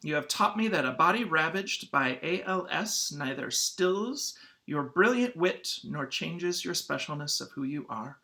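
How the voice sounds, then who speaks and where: A man speaking as a schoolteacher in an informal read-aloud lesson for children, halting and often clearing his throat. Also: on the high side, lively intonation, bright, forceful tone.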